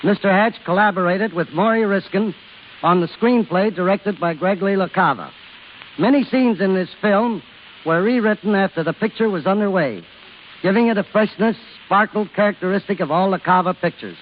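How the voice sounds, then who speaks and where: A man's voice: high voice, normal speed. A man giving a formal scripted talk as a guest executive on 1930s radio. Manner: formal read delivery. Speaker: guest speaker, film studio executive. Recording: muffled, hissy old radio recording.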